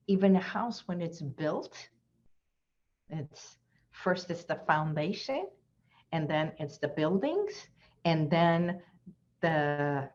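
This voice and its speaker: A woman's voice: fairly low pitch, darkish tone, highs cut off. A woman hypnotherapist giving an earnest, reflective inspirational talk as a guest in an online session, repeating her key phrases.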